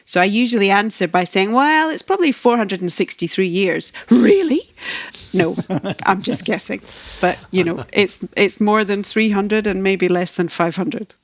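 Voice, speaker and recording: A woman's voice: medium pitch, expressive, moderate pace, dry recording, studio quality. A woman in her fifties from Scotland with a Scottish accent, answering interview questions in a chatty, self-deprecating way. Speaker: guest ecologist.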